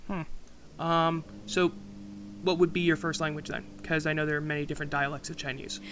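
A man's voice: fairly high pitch, flat delivery, a little fast, fairly bright tone, slight background noise. A man hosting an interview podcast, introducing his guest and asking questions with a few uh fillers. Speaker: podcast host.

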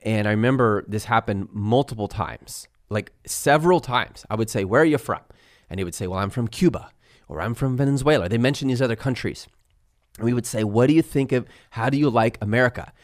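A middle-aged man co-hosting a podcast in a relaxed yet emphatic way. His delivery is animated, and he tells stories at an ordinary male pitch. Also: a little fast. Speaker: podcast co-host, business owner. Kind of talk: casual motivational chat.

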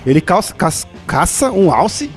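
A man guesting on a podcast, telling a story in an excited, slangy way. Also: high voice, moderate pace, soft background music. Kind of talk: casual chat.

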